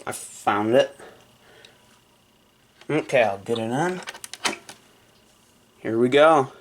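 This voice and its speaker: A man talks slowly and haltingly in a casual unboxing video, at an ordinary medium pitch. He sounds like he is half talking to himself while his hands are busy. Clear noise, crinkling and clicks sit behind his voice. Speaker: vlogger unboxing a gadget. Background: handling noise, crumpling and clicks.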